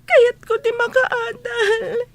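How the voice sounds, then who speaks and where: A woman's voice: very high-pitched, little pitch movement, takes it slowly, exaggerated voice. A woman playing a meek, tearful young housemaid in a radio drama, plaintive and submissive. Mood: tearful, distressed. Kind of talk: Ilocano radio drama acting.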